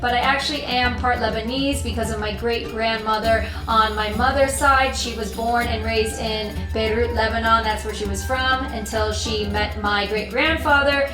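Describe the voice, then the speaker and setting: A woman's voice: fairly high pitch, steady tone, normal speed, few pauses, distant mic. A young American woman vlogging about travel, talking earnestly and rambling a little, repeating her points as she goes. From United States. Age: young adult. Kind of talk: casual talk to camera. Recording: roomy echo, voice off-mic, music behind.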